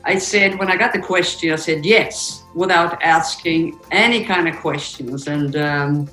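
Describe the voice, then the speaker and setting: A woman's voice: deep voice, pushed and bright, roomy sound, faint music behind, poor audio. Older Swedish woman on a video call. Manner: thoughtful, halting speech with fillers. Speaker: interview guest, football coach. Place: office room indoors.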